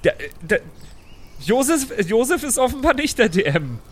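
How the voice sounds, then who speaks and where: A man's voice: extremely high pitch, big pitch swings, moderate pace, slightly rough. A man playing in an improvised tabletop role-play, talking casually in a hesitant, bemused, colloquial way.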